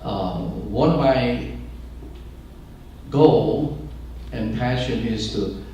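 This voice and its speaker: Older male pastor, Chinese first language, unhurried and halting, many fillers; distant mic in a booming room. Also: dark tone, heavy reverb, a little hiss or hum. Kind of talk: speech to an audience. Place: large room with an audience.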